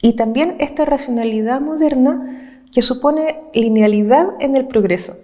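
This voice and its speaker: A woman from Chile, an activist speaking earnestly and with passion, laying out her points in measured, listed phrases. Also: high voice, dark tone. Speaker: social movement activist. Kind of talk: reflective interview talk.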